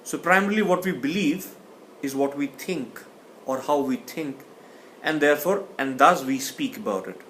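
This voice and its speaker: A man's voice: fairly high pitch, lively intonation, moderate pace, fairly bright tone, slight background noise. A man teaching a communication class, speaking thoughtfully and often restarting or repeating words. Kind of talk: explanatory lecture.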